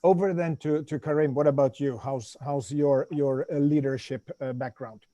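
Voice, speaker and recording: A man's voice: a little high, steady tone, moderate pace, dark tone, dry recording, dull audio. A man moderating a panel on a video call, friendly, often repeating words as he goes.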